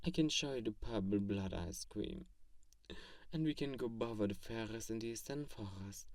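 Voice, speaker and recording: A low, close and dry voice for a kindly vampire, played by a man in an ASMR audio roleplay. He is soft-spoken and earnest, with a theatrical, grandiose streak and a gently teasing way of talking. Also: normal speed, no echo, distant mic, lightly acted. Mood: playful, warm. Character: kindly, theatrical, self-styled great male vampire befriending a lonely human.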